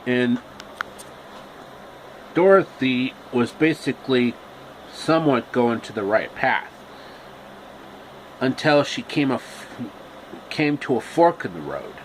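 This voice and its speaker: A man with a medium pitch tells a story in a casual, improvised way. He goes slowly and haltingly, with faint hum in the background. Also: lively intonation, low-quality recording.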